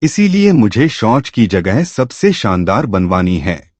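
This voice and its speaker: Man acting a bossy, boastful low-voiced rich man. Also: very animated, takes it slowly, darkish tone, no echo, heavily acted. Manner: bossy, dismissive. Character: boastful, gruff newly rich man obsessed with his lavish bathroom.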